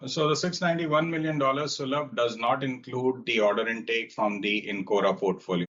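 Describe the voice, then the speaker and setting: Low-voiced executive man on a dull call line. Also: a bit bright. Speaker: company executive on an earnings call. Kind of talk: earnings call remarks and answers to analysts. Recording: dull, band-limited conference call audio.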